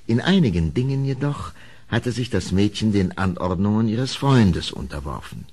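A man's voice: fairly low pitch, expressive, moderate pace, a little mellow, breathy edge. A man narrating a horror audio play as dramatic scripted storytelling.

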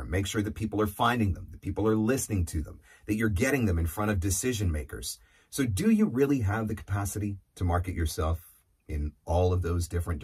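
A man in his forties speaks as a coach in a fairly low voice with a husky edge. His delivery is conversational and animated, leaning on key words and putting questions to the listener. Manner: conversational, emphatic, poses rhetorical questions. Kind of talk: instructional talk to camera. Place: small indoor studio or office. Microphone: lapel mic.

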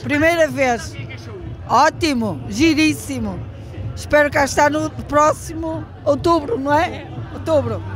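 A woman's voice: high voice, unhurried, faint music behind, some chatter behind. A woman with a European Portuguese accent answering casually in short phrases at a street interview. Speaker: festival visitor being interviewed. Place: outdoors at night at a street festival. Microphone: handheld reporter microphone.

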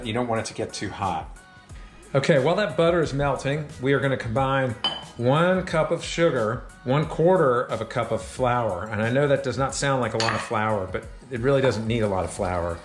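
A middle-aged man hosts a casual home cooking show and talks upbeat and chatty to the camera. His voice sits at an ordinary pitch for a man. It rings in a roomy, echoey kitchen while faint music plays underneath. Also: a bit bright. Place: large home kitchen, indoors.

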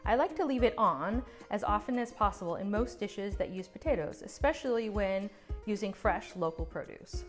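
A woman giving calm, scripted step-by-step cooking instructions as a voice-over. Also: medium pitch, moderate pace. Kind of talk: scripted recipe narration. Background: soft background music.

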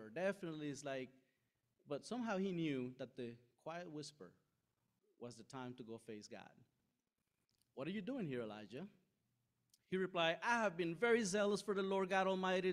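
A young man from Costa Rica preaching a sermon from a podium, conversational and animated, acting out quoted voices, recorded in a large church hall. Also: a little high.

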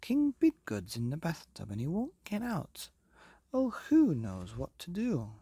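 Casting: male narrator as panicky boyish palace page, high and pleading, for a children's tale. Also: wildly swooping pitch, measured pace, mellow, slightly rough, no echo, clean studio sound, heavily acted. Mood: panicked, pleading. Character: frantic young male royal page crying for help.

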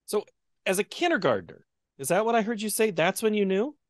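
A man's voice: high-pitched, big pitch swings, fairly quick, no room sound, clean studio sound. A man interviewing a guest over a video call, asking friendly, playful questions.

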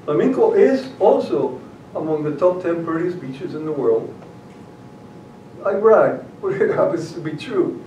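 A man's voice: a little high, expressive, measured pace, mellow, slight background noise. An older man with a Puerto Rican accent giving a public talk, telling stories with many fillers and restarts. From Puerto Rico. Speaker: guest speaker. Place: library meeting room. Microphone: far camera. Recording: roomy, distant, dull audio.

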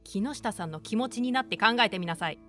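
A woman's voice: mid-range voice, expressive, fairly quick, bright, forceful tone, faint music behind. A woman acting a strict but encouraging primary school teacher, firm and polite, in a voice drama. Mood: stern.

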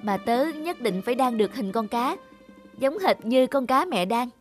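A woman's voice: on the high side, lively intonation, normal speed, music in the background, close to an everyday voice. A woman voicing an earnest, apologetic schoolboy in a cartoon, explaining himself. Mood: earnest, sheepish. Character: earnest, apologetic schoolboy, devoted big brother. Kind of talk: cartoon dialogue.

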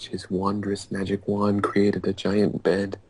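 A man's voice: fairly low pitch, completely flat delivery, slow. A young man doing an amateur dramatic reading aloud among friends on an online group call, stumbling now and then over the wording. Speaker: fanfiction reader in a group call. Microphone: computer mic on a group internet call. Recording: hissy online group call, mouse clicks.

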